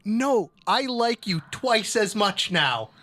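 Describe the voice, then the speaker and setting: A man playing a socially awkward, self-deprecating joker in a tabletop game, with deadpan asides. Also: high-pitched, slow, voice pushed up, full character voice. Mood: teasing.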